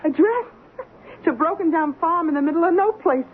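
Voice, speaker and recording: A woman's voice: very high voice, expressive, fairly quick, a little hiss or hum. A woman playing a cool, street-smart racketeer in a radio drama, with clipped, knowing underworld slang. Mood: knowing, coy. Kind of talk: old-time radio drama acting. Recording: thin, tinny old radio broadcast.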